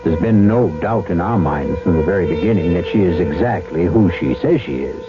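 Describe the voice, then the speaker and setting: An American man hosting a 1970s radio drama with deliberate, theatrical scripted narration. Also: sits low, lively intonation. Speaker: host and narrator. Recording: dull old radio recording, music behind.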